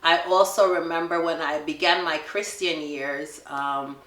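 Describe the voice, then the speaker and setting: A middle-aged woman with a Jamaican accent, telling her son stories in a relaxed family question-and-answer chat and laughing often. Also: low-pitched, measured pace, echoey room, low-quality recording. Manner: warm storytelling, laughs often.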